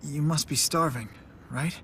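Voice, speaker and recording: A man playing a gentle, considerate, worried young man in a video game, with an earnest delivery. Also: a little high, steady tone, unhurried, slight background noise. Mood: caring. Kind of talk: dubbed video game cutscene acting.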